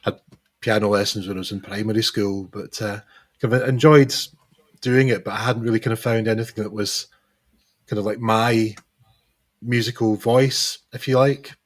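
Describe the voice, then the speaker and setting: Hoarse low-voiced man. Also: steady tone, moderate pace. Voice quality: hesitant, lots of fillers and restarts. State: hoarse, recovering lost voice. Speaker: musician guest. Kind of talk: casual interview chat.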